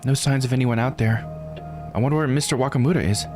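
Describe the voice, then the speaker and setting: A man's voice: medium pitch, quick pace, roomy sound, in a large hall, music in the background, pitched above the performer's own voice, natural voice. A man voicing an uneasy but determined young woman in a visual novel, reading her inner thoughts aloud in an earnest way. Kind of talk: dramatic first-person visual novel read-aloud.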